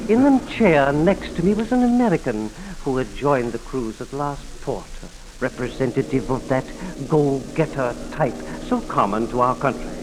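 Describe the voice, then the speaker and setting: An actor playing a pedantic, polite professor and amateur sleuth in a 1940s radio mystery, speaking a little hesitantly. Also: male voice, medium pitch, animated, moderate pace, music in the background, close to an everyday voice, rough recording. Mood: measured, reminiscent. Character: slightly pedantic, smallish gentleman psychology professor, amateur sleuth. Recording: old 1940s radio broadcast.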